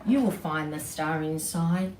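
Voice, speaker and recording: A woman voicing a wise, patient male monkey in a children's story, explaining deliberately. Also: sits low, darkish tone. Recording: distant mic, roomy echo, background noise.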